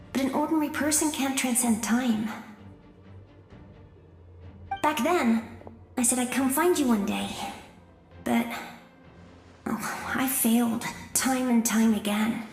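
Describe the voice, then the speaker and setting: A devoted younger sister with a high, tender voice. She is affectionate and expressive, a game character heard in an echoey space over soft music. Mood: wistful, regretful. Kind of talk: video game voice acting.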